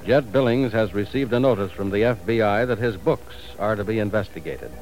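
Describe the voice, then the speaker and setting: A man's voice: fairly low pitch, a little fast, a little mellow, faint music behind, rough recording. A man announcing and narrating a vintage radio drama in a formal, dramatic manner.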